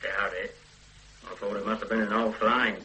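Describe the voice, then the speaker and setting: An actor playing a blunt, unsentimental ex-soldier son in a radio drama, offhand and dismissive. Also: male voice, on the low side, flat delivery, normal speed, pushed and bright, rough recording. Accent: Northern English. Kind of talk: 1970s radio drama acting.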